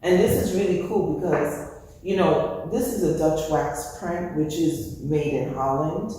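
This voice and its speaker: A woman from the Bronx, a fashion designer telling her story in a casual interview, animated and full of "you know" fillers. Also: low voice, measured pace. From New York, Bronx. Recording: echoey hall from far off, faint noise.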